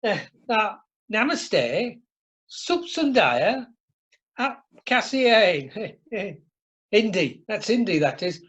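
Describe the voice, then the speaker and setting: Animated, chummy Northern English husband acted. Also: medium pitch, slow pace, husky, exaggerated voice. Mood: jovial, showing off. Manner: chummy, blustering, colloquial. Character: loud, jovial, blunt Northern English husband. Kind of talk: amateur radio comedy acting. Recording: muffled online meeting audio.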